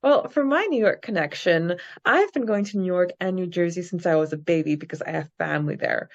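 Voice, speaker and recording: Young woman chatting on a fan podcast, lowish voice, kinda muffled audio. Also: lively intonation, brisk. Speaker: podcast co-host. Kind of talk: casual fan podcast chat.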